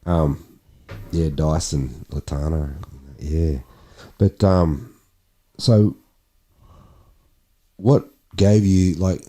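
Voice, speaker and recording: Australian male host, deep husky voice, relaxed casual interviewer. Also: drawn-out words. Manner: laid-back, friendly slang. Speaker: podcast host.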